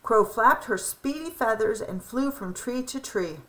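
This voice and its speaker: A woman reads a children's story aloud with a bright, fairly high voice. Her delivery is expressive and deliberate, with plenty of rise and fall. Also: rough recording. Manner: animated, emphatic, deliberate. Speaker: storybook reader. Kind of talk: expressive read-aloud of a children's picture book.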